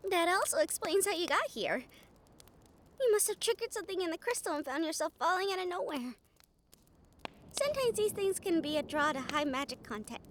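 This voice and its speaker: Woman playing a young harpy healer, very high pitch, lively intonation, earnest, kind, excitable, audio drama. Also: a little fast, slight background noise. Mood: amazed, excited. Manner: bubbly, gentle, earnest. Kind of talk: audio roleplay acting.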